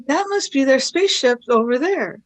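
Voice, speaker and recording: A woman's voice: high voice, expressive, normal speed. A woman playing a plucky young girl companion in a radio play, cheeky, pert and a little impatient. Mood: curious.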